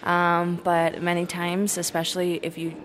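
A woman's voice: lowish voice, completely flat delivery, unhurried. A woman working as a recruitment director, explaining her program thoughtfully in an interview and restarting as she searches for words.

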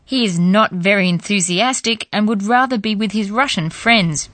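A woman narrating a language course in a measured, explanatory way. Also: medium pitch, a bit bright, no room sound. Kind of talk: scripted narration.

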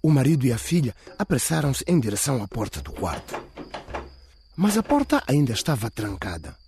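A man's voice: mid-range voice, huge pitch swings, brisk, warm tone, a little hiss or hum. A man reading a scripted radio drama as its dramatic narrator. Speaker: audiobook narrator.

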